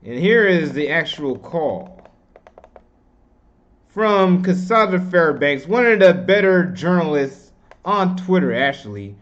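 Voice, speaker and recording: A man with a slightly high voice, recorded in dull, muffled lo-fi audio. He talks as an online commentator, giving opinions in a loose, slangy, rambling manner. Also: very animated, takes it slowly, fairly bright tone.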